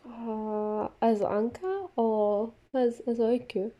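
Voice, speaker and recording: A woman's voice: on the high side, fairly even intonation, takes it slowly, lo-fi sound. A young woman whose first language is not English, a player on a role-playing podcast, speaking hesitantly with many fillers and giggles. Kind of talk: casual chat.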